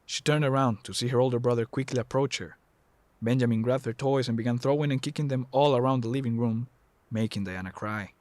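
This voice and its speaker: A man's voice: mid-range voice, a little fast, a little mellow, no room sound. A man narrating an audio drama in a scripted storytelling style.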